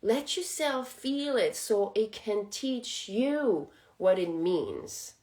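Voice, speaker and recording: A woman's voice: medium pitch, expressive, slow, darkish tone. A woman whose first language is French, hosting a spiritual channel and talking casually, reflective and laughing often.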